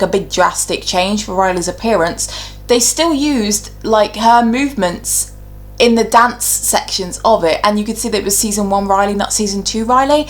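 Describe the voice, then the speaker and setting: Female vlog host, medium pitch, informal and chatty, casual fan commentary with clear opinions. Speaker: YouTube host.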